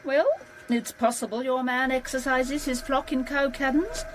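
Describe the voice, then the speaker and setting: An actress playing a brisk, teasing forensic scientist in a radio drama, matter-of-fact and expert. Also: female voice, high voice, steady tone, slight background noise, natural voice, poor audio. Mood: brisk, assured.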